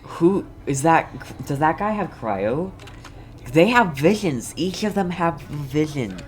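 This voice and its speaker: A woman's voice: sits low, sing-song, slow, a little mellow, slight background noise. A woman game streamer giving casual, reactive commentary as she plays.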